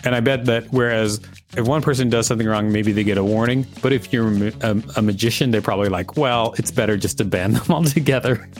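A man's voice: on the low side, fast, faint music behind. A man co-hosting a podcast, reading an encyclopedia article aloud and chatting casually. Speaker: podcast co-host.

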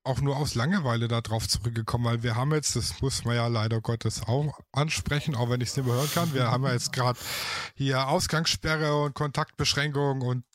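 A man's voice: mid-range voice, steady tone, brisk, hardly any pauses, a bit bright, no echo. A man from Baden-Württemberg with a light Swabian accent, a photographer chatting casually and rambling a little. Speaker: photographer guest. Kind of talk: casual podcast chat.

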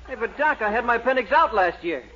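High-voiced gullible young athlete. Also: male voice, lively intonation, quick pace, sharp tone, a little hiss or hum, full character voice. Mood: protesting. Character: dim, gullible young football player. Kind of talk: 1940s radio sitcom acting. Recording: muffled 1940s radio recording.

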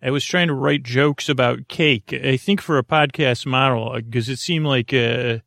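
Creaky-voiced man, meandering podcast host. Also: medium pitch, fairly bright tone, no room sound, studio quality. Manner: meandering, halting, repetitive. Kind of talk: rambling sleep podcast monologue.

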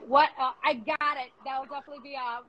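High-voiced, rambling woman hosting a chat. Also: steady tone, fast, muffled recording. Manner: rambling, reflective, self-deprecating. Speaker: host of an arts interview show. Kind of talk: casual interview chat.

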